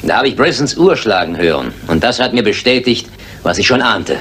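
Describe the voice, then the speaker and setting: An actor playing a shrewd, self-assured detective with a commanding, formal manner in a German radio crime drama. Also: male voice, lowish voice, expressive, fairly quick, a bit bright, soft background music. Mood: urgent, firm. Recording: noisy old radio play recording.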